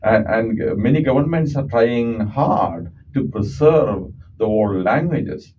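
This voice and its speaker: A man's voice: mid-range voice, expressive, slow pace, recorded from a distance, dull audio, a little hiss or hum. A man from Kerala with a South Indian accent and Malayalam as his first language, explaining things earnestly as a guest on a radio interview, with frequent "uh" and "okay" fillers. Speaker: audiologist guest. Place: radio studio.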